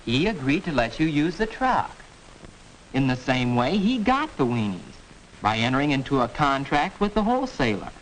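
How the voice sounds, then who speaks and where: A man's voice: mid-range voice, sing-song, moderate pace, lightly acted, low-quality recording. A young-sounding American man playing an earnest teenage boy who explains things didactically in a 1940s educational film. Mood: explaining. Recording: hissy old film soundtrack.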